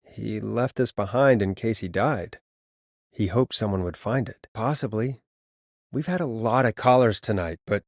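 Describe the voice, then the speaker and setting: Wry, low-key male radio DJ, dry sound. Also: no room sound. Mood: serious, concerned. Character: male late-night radio DJ turned uneasy amateur sleuth. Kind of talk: naturalistic video game voice acting.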